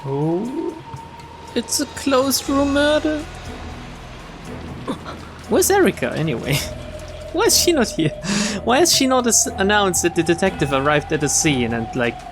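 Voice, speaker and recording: A man with a slightly high voice reads a visual novel aloud on stream. He drops in wry, casual commentary between passages. Soft game music plays behind him. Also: wildly swooping pitch, measured pace. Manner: wry, chuckling asides, casual swearing.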